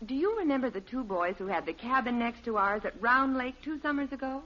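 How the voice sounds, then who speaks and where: A patient American housewife who chides gently, in vintage radio family comedy style. The voice is a little high with lively intonation. The sound is dull, like an old broadcast. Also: female voice, brisk. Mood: chatty. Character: patient, gently chiding suburban wife and mother. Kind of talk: 1950s radio sitcom acting.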